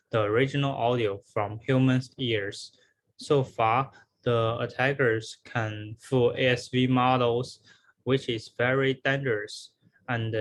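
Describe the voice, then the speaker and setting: A man presenting research as a scripted academic talk, in a somewhat halting, explanatory way. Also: mid-range voice, fairly bright tone, low-quality recording. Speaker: researcher presenting a conference paper.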